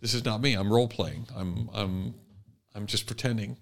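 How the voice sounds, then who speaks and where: A man's voice: on the low side, normal speed, gravelly. A man co-hosting a conversational podcast, thinking aloud and repeating phrases for emphasis. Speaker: podcast co-host. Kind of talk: conversational commentary.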